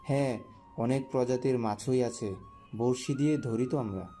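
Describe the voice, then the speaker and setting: Man performing young village man, dark mellow voice, easygoing, audio drama, music behind. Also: mid-range voice, moderate pace, lightly acted. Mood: friendly. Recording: music under the voice.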